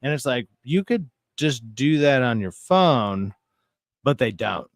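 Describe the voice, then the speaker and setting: A man's voice: medium pitch, very animated, slow pace, no echo, studio quality. A man in his thirties hosting a livestream talk show, chatting casually and giving exasperated, opinionated rants. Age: 30s.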